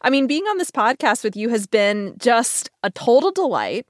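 A woman's voice: on the high side, very animated, moderate pace, dry recording. Female podcast host, conversational delivery with lightly scripted introductions, dry studio sound.

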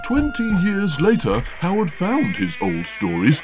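A man's voice: a little high, wildly swooping pitch, unhurried, music in the background. A man narrating a playful, sing-song story in a clip played on the show.

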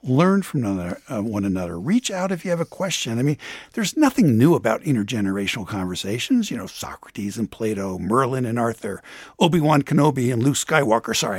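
A man's voice: mid-range voice, breathy edge, no echo, studio quality. A man giving a conversational radio report as an arts reporter.

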